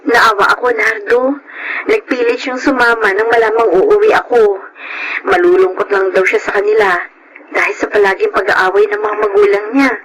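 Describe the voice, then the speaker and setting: A woman's voice: medium pitch, fairly even intonation, normal speed, fairly bright tone, natural voice, rough recording. A woman playing a devoted nanny and mother in a Filipino radio drama, with a Filipino accent. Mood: concerned. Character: devoted nanny and mother telling her life story.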